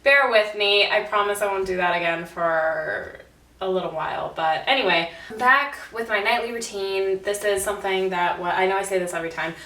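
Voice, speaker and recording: A young woman with a stuffy, congested voice chats casually and self-deprecatingly to camera. She sounds set back from the mic in a roomy bathroom. Her pitch sits in a middle range. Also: normal speed, bright, forceful tone. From Canada. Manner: chatty and self-deprecating, laughs at herself. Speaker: beauty vlogger. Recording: roomy, slightly distant audio.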